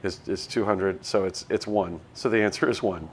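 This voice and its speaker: A man live-streaming a visual novel, reading text aloud and chatting in a self-deprecating way as he thinks out loud. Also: deep voice, normal speed, slight background noise. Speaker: game streamer.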